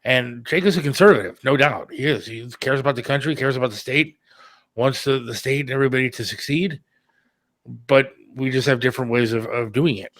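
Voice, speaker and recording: A man's voice: medium pitch, fairly quick, fairly bright tone, no echo. Casual man with a dry, close sound. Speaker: radio producer and talk show host. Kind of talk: casual chat about an upcoming radio show.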